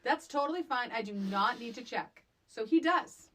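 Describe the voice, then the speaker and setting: A woman with a fairly high voice that swings widely in pitch, talking casually about a film as a podcast co-host. She is emphatic and exasperated, hammering her points by saying them again. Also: expressive, normal speed, fairly bright tone.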